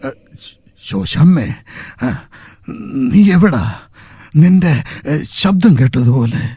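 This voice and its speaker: A man playing an aging widowed father in a radio drama, slow and sorrowful. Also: fairly high pitch, expressive, mellow, slightly rough, no echo, clean studio sound, exaggerated voice. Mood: tender. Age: older. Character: aging widowed father, tender, clinging to home.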